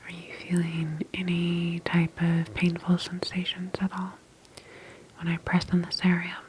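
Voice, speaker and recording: Woman, ASMR doctor roleplay: soft whisper, very unhurried delivery, close and gentle, with audible background noise. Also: on the low side, completely flat delivery, poor audio. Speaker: ASMR performer playing a doctor.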